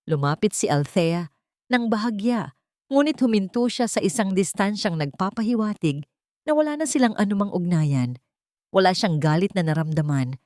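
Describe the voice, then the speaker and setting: A woman's voice: lowish voice, darkish tone, no room sound, clean studio sound. A woman reading a scripted dramatic story as its narrator.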